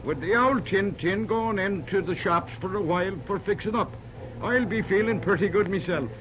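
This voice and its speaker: A man's voice: high voice, normal speed, lightly acted. An actor playing a plain-spoken Irish railroad man, with a thick Irish accent and a teasing manner, in 1940s radio acting. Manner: Irish turns of phrase, easygoing and teasing. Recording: dull old radio recording with hiss.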